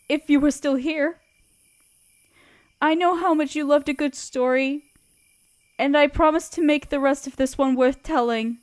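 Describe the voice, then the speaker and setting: A woman voicing a grieving young woman who writes a letter to her lost love, speaking softly and tenderly while sniffling through tears. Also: high voice. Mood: tender resolve. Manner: soft, hushed and tender. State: sniffling, tearful. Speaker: audio roleplay performer. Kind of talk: intimate audio roleplay reading a letter.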